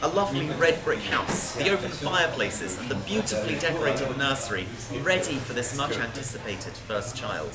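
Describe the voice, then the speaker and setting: Lively low-pitched woman reading over loud music. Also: moderate pace, few pauses. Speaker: reader. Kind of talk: scripted narration, story reading aloud.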